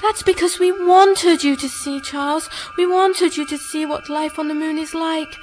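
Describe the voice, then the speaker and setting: A woman plays an ethereal, alluring woman of the moon in a radio drama. Her high voice is serene, gentle and coaxing, with music playing behind it. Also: steady tone, normal speed, lightly acted. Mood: gently coaxing.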